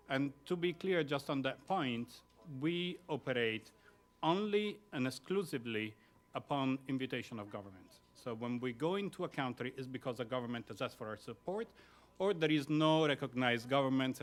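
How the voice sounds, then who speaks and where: A man on a conference panel answering an audience question about relief work, speaking in a measured way with many pauses. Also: medium pitch, a bit bright. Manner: measured, with frequent filler pauses. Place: conference room.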